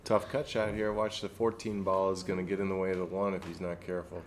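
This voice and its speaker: Low-voiced male pool commentator. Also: fast talker, a little hiss or hum. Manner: relaxed, explains shots, dry joking. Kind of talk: live pool match commentary.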